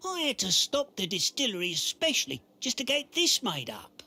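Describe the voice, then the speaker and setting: An actor playing a haughty, money-minded supplier in a radio drama, raspy and theatrical, drawling with grudging mockery. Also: male voice, high voice, wildly swooping pitch, moderate pace, bright, forceful tone, full character voice.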